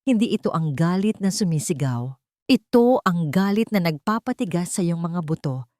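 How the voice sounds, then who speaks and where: A woman's voice: lowish voice, no echo, clean studio sound. A woman reading a scripted first-person story in a dramatic, solemn manner. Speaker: narrator.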